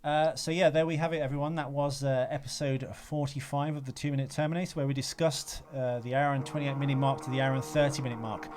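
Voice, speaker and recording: A man's voice: medium pitch, steady tone, quick pace, hardly any pauses, darkish tone, slight background noise. A man hosting a podcast in a casual, rambling, jokey way. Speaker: podcast host. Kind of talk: casual banter and rambling sign-off on a film podcast. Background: music playing behind the voice.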